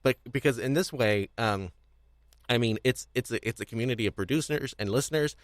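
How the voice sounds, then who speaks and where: A man hosting a casual panel discussion, speaking haltingly and often repeating himself as he restarts phrases. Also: medium pitch, no room sound, studio quality. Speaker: podcast host.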